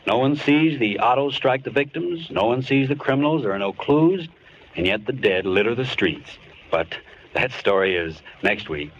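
A man's voice: mid-range voice, expressive. Radio drama host guy talking straight to listeners, earnest, muffled old recording with hiss. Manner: earnest, measured, slightly dramatic. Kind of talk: 1930s radio show host.

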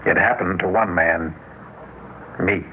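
A man's voice: sits low, fairly even intonation, slow pace. An actor playing a grave man introducing his own uncanny story in an old radio drama. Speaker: narrator and story's host. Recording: lo-fi old radio recording, hiss.

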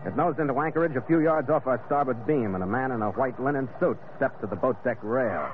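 An actor playing a steady, wry seafaring captain, narrating in a dry, clipped 1940s radio style. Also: male voice, medium pitch, quick pace, slight background noise, natural voice. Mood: measured, wry. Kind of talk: 1940s radio acting, scripted first-person narration. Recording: narrow-band old radio recording. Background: dramatic music.

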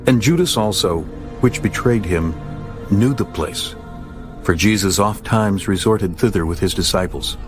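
A man narrating scripture from a script in a measured, formal manner. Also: lowish voice. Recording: faint music bed behind voice.

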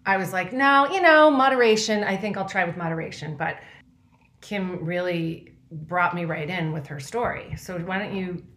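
A woman's voice: on the low side, moderate pace, a bit bright. A chatty woman, telling stories as a podcast co-host. Her voice sounds roomy, with echo around it. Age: 40s. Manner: chatty, storytelling. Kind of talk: casual chat. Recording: echoey room.

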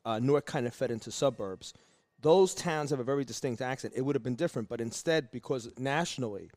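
A man hosting a casual podcast chat, talking in a rambling, animated way. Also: mid-range voice, dry recording. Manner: rapid-fire, rambling, animated. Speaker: podcast host. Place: pastry shop.

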